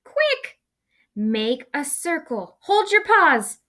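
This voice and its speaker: A woman's voice: sits high, huge pitch swings, extremely slow, bright, forceful tone, voice pushed up, exaggerated voice. A woman voicing a small, earnest, bossy prairie dog in a storybook, with pleading, urgent commands. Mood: frantic commanding.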